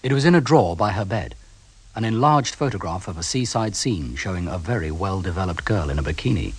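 An actor playing a put-upon young police officer with a husky voice, narrating a radio crime drama in a dry, clipped manner. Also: male voice, sits low, expressive, brisk, full character voice, low-quality recording. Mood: matter-of-fact. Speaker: detective sidekick and narrator character.

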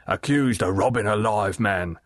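A man's voice: lowish voice, moderate pace, no room sound, studio quality, lightly acted. A man voicing a surly, blunt older boatman who is a father.

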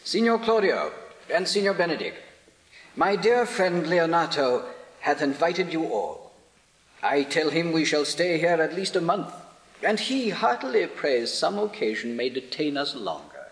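An actor playing a genial, courtly prince in hearty Shakespearean stage style. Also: male voice, on the high side, big pitch swings, a little slow, rough recording. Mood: jovial, courteous. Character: genial, jovial visiting prince. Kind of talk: theatrical Shakespearean stage acting.

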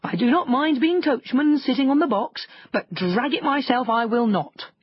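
A woman's voice: sits high, normal speed, husky, dull audio, pitched above the performer's own voice. A woman voicing a proud, stubborn male rooster with a haughty manner in a fairy tale. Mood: haughty refusal.

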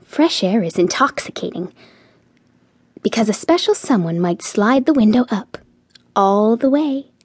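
Dreamy teenage girl, breathy and husky. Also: medium pitch, expressive, a little slow, no room sound, clean studio sound. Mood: dreamy, confiding. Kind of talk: audio drama monologue and dialogue.

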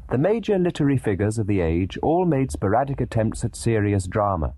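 A man narrates a scripted documentary with dramatic emphasis. His voice is mellow and slightly husky, with a wide, animated range of pitch, and the delivery is literate and measured. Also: no echo.

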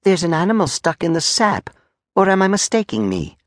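Dim, deferential foot soldier, acted by a narrator: coy, muddled, fussy politeness, animated pitch, dry studio sound. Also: fairly quick, no echo, lightly acted. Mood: hesitant, earnest. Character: Clot, meek, simple-minded, over-polite soldier.

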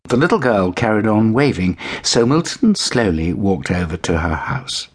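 Male narrator for children's bedtime tales: lowish register, husky, expressive delivery. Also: normal speed. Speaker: audiobook narrator.

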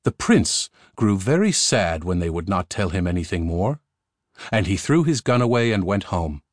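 A man's voice: deep voice, sing-song, normal speed, no room sound, clean studio sound. A man narrating a fairy tale audiobook in a scripted storytelling style. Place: recording studio.

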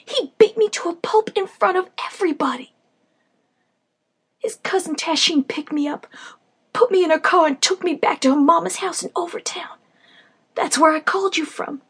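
A woman's voice: high-pitched, animated, brisk, few pauses, pitched above the performer's own voice. A woman voicing a battered young woman who is crying and pleading frantically. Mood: frantic.

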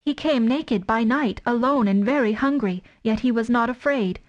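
Woman reader doing a fierce mother wolf, higher pitched, defiant and fiery. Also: moderate pace, muffled recording, close to an everyday voice. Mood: fiercely tender. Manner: defiant, high and forceful.

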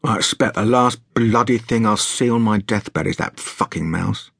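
A man's voice: lowish voice, moderate pace, vocal fry, fairly bright tone, dry recording, clean studio sound. A man voicing a rude, bitter older woman shopkeeper, sarcastic and icily polite, in a story reading. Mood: grumpy.

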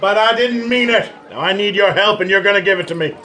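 A stubborn frontier sheriff , played by a man, with a fairly high male voice. He talks plainly and earnestly in folksy American, as in a radio western. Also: fast talker, background noise, close to an everyday voice. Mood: exasperated. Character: earnest, stubborn small-town Old West sheriff.

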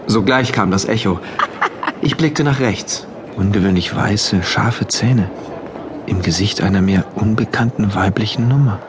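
A man playing an orderly, wonderstruck engineer who narrates his diary of a regimented future in measured, deliberate phrases, in a radio play. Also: fairly low pitch, noisy background, close to an everyday voice. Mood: fascinated. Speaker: narrator of an audio drama. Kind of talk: literary first-person narration in a radio play. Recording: music behind the voice.

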